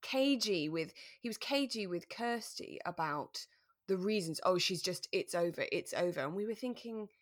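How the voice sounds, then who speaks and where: Podcast co-host: female, lowish voice, informal chatty discussion style, recorded very dry. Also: expressive, normal speed, no room sound. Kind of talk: casual recap and discussion of a radio drama.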